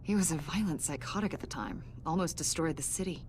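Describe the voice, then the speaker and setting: An actress playing a probing, sardonic government agent in a video game, questioning someone in an insinuating, needling way. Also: female voice, sits low, a little fast, darkish tone, slight background noise, natural voice. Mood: probing, cool. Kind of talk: cinematic video game dialogue. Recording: music behind the voice.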